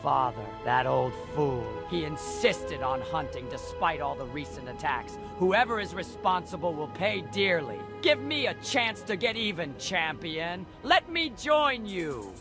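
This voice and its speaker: A man voicing a young, hot-headed orc warrior in a fantasy game, with a gravelly voice. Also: on the high side, big pitch swings, measured pace, few pauses, over music, heavily acted. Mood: vengeful. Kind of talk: video game character dialogue.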